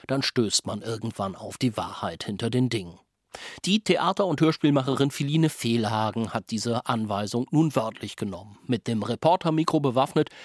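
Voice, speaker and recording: A man's voice: mid-range voice, no room sound. Dry, close-sounding male radio presenter. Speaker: radio presenter introducing a radio play. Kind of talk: scripted narration.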